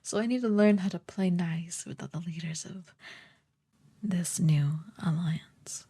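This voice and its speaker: Evil sorceress queen, played by a woman: lowish, mellow and breathy, unhurried, haughty and ruthless, for fantasy ASMR roleplay. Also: heavily acted. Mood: haughty explaining. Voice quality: soft, breathy, partly whispered. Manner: slow, condescending, commanding.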